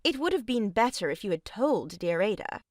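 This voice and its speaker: A woman's voice: medium pitch, very animated, moderate pace, no echo, clean studio sound, close to an everyday voice. An actress playing a prim, moralising married lady, reproving and emphatic, in a period farce audio drama. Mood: righteous reproach.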